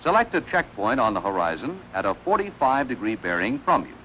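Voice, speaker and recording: A man delivering formal, measured instructional narration in the style of a wartime training film, on an old film soundtrack. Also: on the low side, very animated, bright, forceful tone, a little hiss or hum, rough recording.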